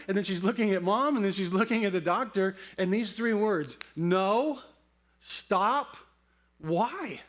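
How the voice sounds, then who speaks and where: A middle-aged man with a notably high voice preaches like a pastor. He talks in a conversational, storytelling way. He slows into dramatic pauses to give key words weight. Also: a bit bright. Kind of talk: sermon with personal storytelling.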